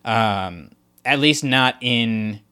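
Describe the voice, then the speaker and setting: A man's voice: medium pitch, drawn-out words, sharp tone, clean tone. Thoughtful man co-hosting a podcast. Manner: thoughtful, analytical, many "like"s and restarts. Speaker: podcast co-host. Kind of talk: casual discussion podcast.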